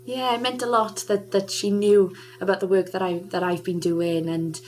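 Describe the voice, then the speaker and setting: A woman's voice: medium pitch, little pitch movement, normal speed, a little hiss or hum. A young adult woman guest on a radio interview, chatting with lots of laughter and filler "um"s. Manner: chatty, warm-hearted, laughs often, many "um"s. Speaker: guest singer.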